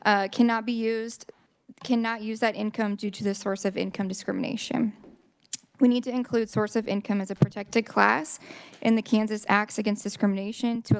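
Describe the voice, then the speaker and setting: A woman giving prepared testimony to a legislative committee for a housing nonprofit, steady and earnest. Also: medium pitch, clear, resonant tone. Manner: steady, earnest delivery with some ums. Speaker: invited speaker for a housing nonprofit. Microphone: committee table microphone.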